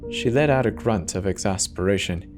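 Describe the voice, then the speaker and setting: A man's voice: lowish voice, brisk, over music. A man giving a dramatic scripted narration of a horror story.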